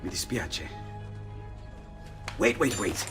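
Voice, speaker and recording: An actor playing an excitable, friendly inventor in a video game, animated and courteous, with an Italian accent. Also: male voice, sits low, takes it slowly, music in the background. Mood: fascinated. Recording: long hall reverb.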